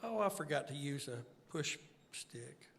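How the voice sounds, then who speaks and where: Self-deprecating man chatting, unhurried. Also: fairly high pitch, animated, vocal fry, a bit bright, in a large hall, a little hiss or hum. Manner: self-deprecating, dry-humored. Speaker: guest singer-songwriter. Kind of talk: casual chat between songs. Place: live radio show stage.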